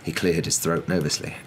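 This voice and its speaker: A young man reading a story aloud as a dramatic, expressive ASMR narrator. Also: deep voice, a little hiss or hum.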